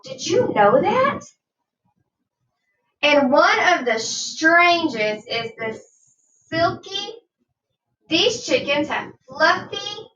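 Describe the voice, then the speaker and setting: A woman reading a nonfiction children's book aloud like a teacher, deliberate and instructive, with questions to her young listeners. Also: high-pitched, bright, forceful tone. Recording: dull, roomy sound, distant from mic.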